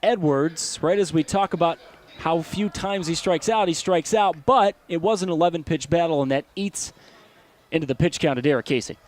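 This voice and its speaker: An American man calling baseball play-by-play as a broadcaster, animated and given to shouting on big plays. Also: on the high side, normal speed. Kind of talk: live sports play-by-play commentary.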